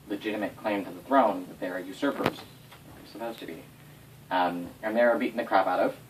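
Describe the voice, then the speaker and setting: A man recounting game lore to his players in a casual, off-the-cuff way, swearing freely and stressing words for drama. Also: on the low side. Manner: sweary, animated, dramatic emphasis. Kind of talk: casual off-the-cuff history telling. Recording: distant mic, noisy room ambience.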